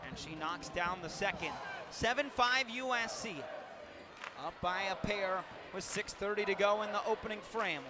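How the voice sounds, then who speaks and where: A man doing live basketball play-by-play for radio, with vivid, punchy calls that lift on big plays. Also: high-pitched. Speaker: play-by-play sports announcer. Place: indoor basketball arena during a game. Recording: loud arena noise, bouncing balls. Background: loud game ambience, ball bounces.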